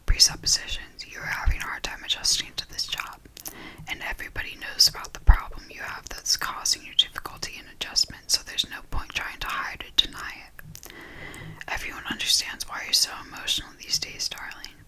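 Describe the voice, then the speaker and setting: Whisper-only ASMR reader with a very bright, crisp edge, reading steadily from a nonfiction book. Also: brisk, low-quality recording.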